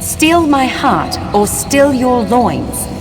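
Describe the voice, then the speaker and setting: Legendary game lady: high, swooping voice, archaic, teasing, theatrical delivery. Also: sing-song, extremely slow, exaggerated voice. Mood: haughty, teasing. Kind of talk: theatrical video game dialogue. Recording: long reverb, loud music behind.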